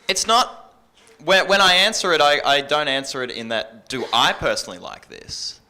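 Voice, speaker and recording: Bright-voiced man giving panel advice. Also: a little high, animated, moderate pace. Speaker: radio presenter and music programmer on an industry panel.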